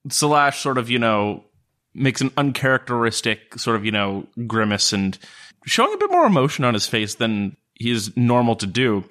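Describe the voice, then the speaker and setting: A man's voice: medium pitch, very animated, normal speed, a bit bright. A man improvising narration of his character's actions as a player in a casual tabletop game.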